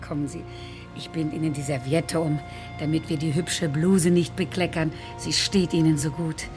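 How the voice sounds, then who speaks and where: An actress playing a kindly, middle-aged housekeeper and carer, fussing and chattering solicitously in a radio drama. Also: female voice, sits low, fairly even intonation, moderate pace, over music, natural voice. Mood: fussing, pitying.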